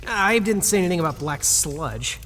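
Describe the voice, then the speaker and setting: Sulky young worker in an audio drama, bright voice, muttering sarcastically in long reverb. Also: male voice, high-pitched, lively intonation, a little fast, slight background noise. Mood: puzzled, grumbling. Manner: muttering, sarcastic, self-pitying. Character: grumpy, put-upon young male museum worker, Nick.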